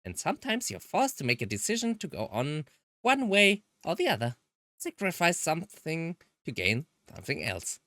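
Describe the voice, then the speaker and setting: Woman doing creepy cheerful visual novel young lady, high sing-song, teasing n cryptic, swoopy pitch. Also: very animated, moderate pace, fairly bright tone, no echo. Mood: breezy, cryptic. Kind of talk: visual novel character dubbing.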